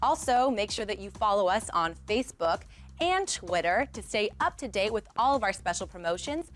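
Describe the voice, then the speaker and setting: A woman's voice: medium pitch, very animated, normal speed. Woman reading a promo over light music. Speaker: channel promo announcer. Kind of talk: scripted promotional voiceover.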